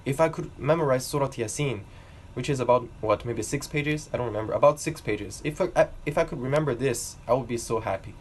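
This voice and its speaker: A man's voice: medium pitch, brisk, a little hiss or hum. A young man from China telling his own learning story to camera in an earnest, reflective way. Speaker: vlogger.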